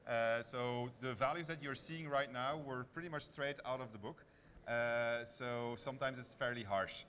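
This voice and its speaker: A man with a mid-pitched voice presents a live game demo and takes questions. He talks informally and haltingly, thinking aloud, with clear background noise from a busy convention hall. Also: pushed and bright. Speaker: game developer presenting on stage. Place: large convention stage with audience. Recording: noisy convention hall PA sound.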